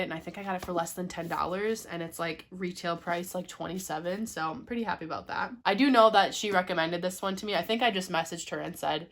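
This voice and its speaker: A woman's voice: fairly low pitch, a bit bright. Congested young woman chatting about books. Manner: chatty, laughs often, frequent ums and likes. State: congested with a cold, cracky voice. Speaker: booktuber host. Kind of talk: casual haul vlog chat.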